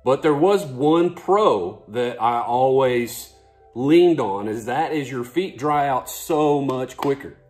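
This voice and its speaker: Man in his forties, mid-pitched voice, casual and folksy, talking to camera at home, faint music behind. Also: expressive, takes it slowly, darkish tone. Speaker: fishing vlogger, host. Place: living room at home.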